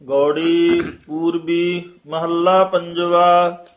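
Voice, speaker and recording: A man recites scripture in a careful half-chant. His voice sits a little high and stays very even, and he moves through the lines extremely slowly, like a student practising.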